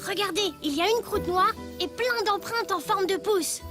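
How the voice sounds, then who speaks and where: A woman voicing a curious, gently skeptical young child in a children's cartoon, speaking in a questioning, matter-of-fact way. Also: very high voice, moderate pace, over music. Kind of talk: dubbed children's cartoon acting.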